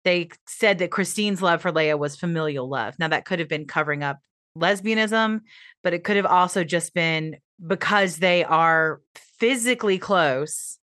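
Low-voiced woman, close and dry. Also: moderate pace, no room sound. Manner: relaxed storytelling, laughing at herself. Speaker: podcast co-host. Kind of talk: casual chat, telling a true-crime story.

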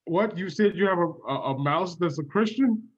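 A man playing a priest with a halting, casual delivery in an improvised comedy sketch. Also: high voice, expressive, breathy edge, muffled recording. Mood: puzzled, helpful.

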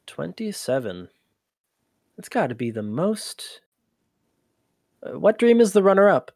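An actor playing an inquisitive human archivist in an audio drama, with a conversational, halting, often exasperated manner. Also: medium pitch, big pitch swings, creaky, darkish tone, no echo, clean studio sound, exaggerated voice. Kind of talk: scripted audio drama acting.

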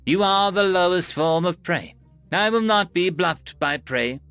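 A man's voice: high voice, animated, unhurried, a bit bright, smooth, dry recording, soft background music, pitched above the performer's own voice, full character voice. A man voicing a proud, scornful female alien starship captain with eye stalks who snarls orders at her crew.

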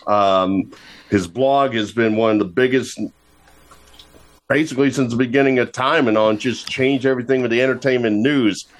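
A man's voice: medium pitch, normal speed. A man co-hosting a radio show, introducing the guest and asking questions in a wry, joking way. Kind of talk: casual radio interview.

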